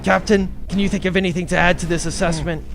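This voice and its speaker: An actor playing a serious, no-nonsense veteran commander briefing troops in a comedic sci-fi audio drama, clipped and strategic. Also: male voice, sits high, steady tone, fast, vocal fry, a bit bright, soft background music. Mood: brisk, serious.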